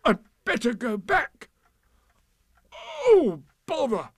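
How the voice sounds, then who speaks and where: Storybook toy bear: slow, hesitant, self-pitying, voice swooping up into plaintive worry. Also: male voice, mid-range voice, expressive, gravelly, warm tone, no room sound, clean studio sound, full character voice. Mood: distressed, plaintive. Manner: hesitant, plaintive, drawn-out delivery.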